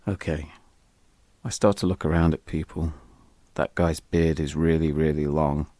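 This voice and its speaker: A man's voice: extremely low pitch, steady tone, normal speed, mellow, no echo. A British man telling a scripted first-person story as an audio drama narrator, wry and confessional, with music behind him.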